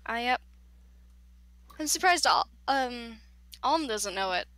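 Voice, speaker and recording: A woman from East Tennessee with a Southern accent, chatting excitably and playfully as a player in a tabletop game. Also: fairly high pitch, takes it slowly. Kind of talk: casual chat during a tabletop game.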